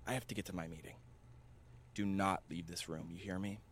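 A man's voice: low-pitched, fairly even intonation, fairly quick, a bit bright, slight background noise. A man playing a stern, exasperated father in an audio drama, firm and scolding with his young son. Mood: stern, weary.

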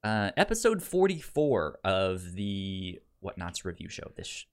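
Halting, enthusiastic man podcast host. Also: low-pitched, big pitch swings. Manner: halting, stammers and repeats syllables; enthusiastic about the material. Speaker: podcast co-host reviewing fiction. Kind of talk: casual review chat.

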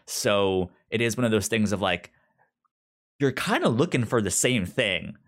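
Slow-talking man, halting and conversational. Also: fairly low pitch, lively intonation, fairly bright tone. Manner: halting, stammering, repeats words. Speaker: podcast co-host. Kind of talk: casual comic book discussion.

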